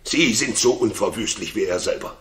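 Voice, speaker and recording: An actor playing a loyal, urgent royal counsellor with earnest, solemn diction in a 1960s German radio drama. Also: male voice, lowish voice, moderate pace, a bit bright, reverberant, lightly acted. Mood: pressing.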